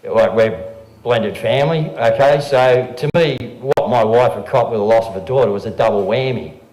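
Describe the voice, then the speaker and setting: A man's voice: medium pitch, moderate pace, darkish tone. An Australian man speaking casually on a live stream, rambling and often checking in with "okay?" and "right?" as he talks into a handheld microphone. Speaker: regular guest, amateur songwriter. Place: live venue room. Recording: roomy live room with guitar.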